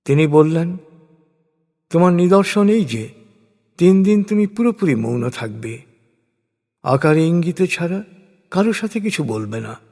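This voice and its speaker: Slow, solemn male narrator in heavy reverb. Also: on the high side, lively intonation, warm tone. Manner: measured, reverent, with deliberate pauses between phrases. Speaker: narrator of a scripture translation. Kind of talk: solemn scripted religious recitation in prose. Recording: long reverberant hall-like echo.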